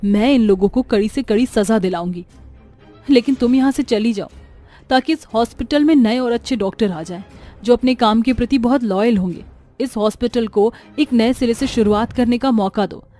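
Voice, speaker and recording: A brave, earnest wife in a cartoon ghost story, played by a woman, imploring as she speaks. Her voice is fairly high with a soft, mellow tone. Light music plays behind her. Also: moderate pace, lightly acted. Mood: earnest, persuading. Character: brave, earnest wife confronting a killer witch. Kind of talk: Hindi cartoon story acting. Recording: faint music underneath.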